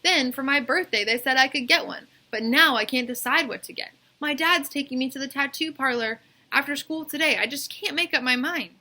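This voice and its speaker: A woman's voice: high voice, fairly quick, hardly any pauses, bright, forceful tone, pitched above the performer's own voice. A woman voicing an indecisive, earnest schoolboy in a children's storybook, with an emphatic, griping manner. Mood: agonized, unsure. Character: indecisive, earnest schoolboy agonizing over a big choice.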